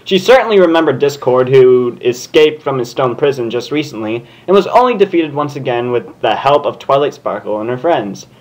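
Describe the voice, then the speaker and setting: A man's voice: mid-range voice, lively intonation, normal speed, few pauses, a little mellow, low-quality recording. A man hosting a comedic fan fiction reading, jokey and casual, with plenty of swearing. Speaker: host and fan fiction reader.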